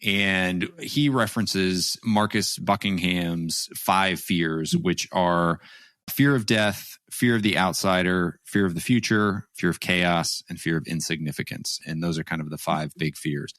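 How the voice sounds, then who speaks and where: A man's voice: low voice, measured pace, fairly bright tone, no room sound. A man hosting an interview podcast, introducing his guest and asking questions in an earnest, upbeat way. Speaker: podcast host.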